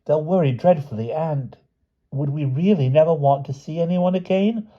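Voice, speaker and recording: Man putting on an earnest, high-pitched pleading schoolgirl. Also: normal speed, lo-fi sound, natural voice. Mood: anxious pleading. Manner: pleading, urgent. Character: earnest, persuasive schoolgirl pleading with her friends.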